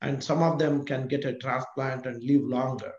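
Reassuring doctor in an echoey room. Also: male voice, medium pitch, fairly even intonation, normal speed. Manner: warm, encouraging, reassuring. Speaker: nephrologist. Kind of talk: panel discussion. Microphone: video call. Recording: roomy, dull video call audio.

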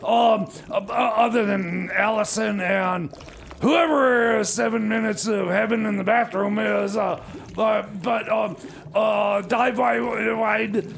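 Creaky, high-pitched addled backwoods sidekick. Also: male voice, steady tone, takes it slowly, a little hiss or hum, exaggerated voice. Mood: addled, rambling. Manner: rambling, stammering. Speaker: comedy radio show sidekick. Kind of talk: improvised comedy radio theater.

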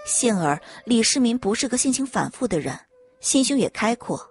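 A woman reading scripted dramatic audiobook narration in an expressive storytelling manner. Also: on the high side, normal speed, slightly rough, soft background music.

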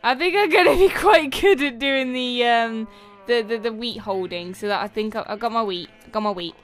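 A woman's voice: on the high side, normal speed, soft background music. A woman playing a video game with a co-player, talking playfully and cooing baby talk at the animals. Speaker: gaming co-host. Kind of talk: playful casual gameplay chat.

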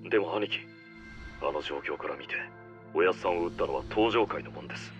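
An actor playing an anxious, loyal younger yakuza underling speaking earnestly over a telephone in a game cutscene. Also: male voice, deep voice, fairly quick, fairly bright tone. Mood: anxious, urgent. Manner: earnest, deferential, urgent. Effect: telephone filter. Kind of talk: Japanese game cutscene acting. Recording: muffled phone line, faint music.

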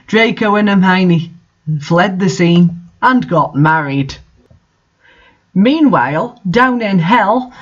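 A man with a notably high voice reads amateur fiction aloud. His delivery is theatrical and wry, and the recording is a bit dull and lo-fi. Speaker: host reading fan fiction aloud. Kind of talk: dramatic reading with casual asides.

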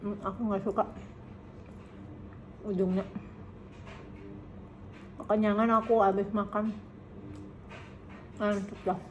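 A woman in her thirties from Indonesia, chatting casually through a food review and humming while she eats, filmed in a bright living room by a camera on the table. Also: mid-range voice, level tone, slow pace, pauses often, lo-fi sound, slight background noise. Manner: chatty, savoring, hums while eating. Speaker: food vlogger. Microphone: camera on table in front.

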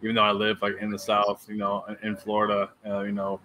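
A man co-hosts an interview, his low voice held flat but bright and edgy in tone. He talks loosely, leaning on fillers and tags, over a webcam with faint noise behind. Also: quick pace, rough recording. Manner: loose and filler-heavy, keeps asking "you know what I'm saying". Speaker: co-host interviewer. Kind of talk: casual interview chat. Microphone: webcam on a video call.

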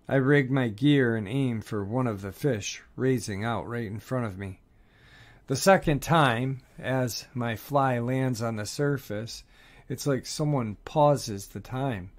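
A man narrating listeners' first-person encounter stories in a steady, measured read. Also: medium pitch.

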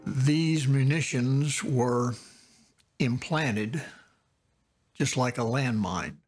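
An American man explaining in a documentary interview with deliberate, halting phrasing. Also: medium pitch, slightly rough, soft background music.

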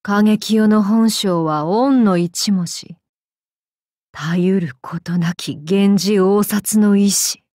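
A woman voicing a tormented, vengeful samurai woman in an anime game, speaking in archaic, solemn, halting phrases. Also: medium pitch, mellow, no echo, studio quality, lightly acted. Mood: haughty, guarded. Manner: archaic, solemn speech with halting pauses, sometimes sinking to whispers. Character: vengeful possessed samurai woman, tormented, torn between two selves. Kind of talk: anime game character voice lines.

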